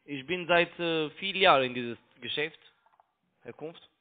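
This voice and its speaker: A man with a foreign accent and non-native, halting German with broken grammar, making an unscripted sales call over the phone as a broker. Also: on the high side. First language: not German. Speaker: cold caller posing as a broker. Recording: tinny narrow phone line.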